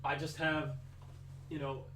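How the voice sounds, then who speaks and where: A man's voice: fairly high pitch, level tone, unhurried, a bit bright, slight background noise, low-quality recording. A young Danish man with an American-leaning accent, chatting casually with viewers on a live stream and rambling with many restarts. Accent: Danish, aiming for American English. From Denmark.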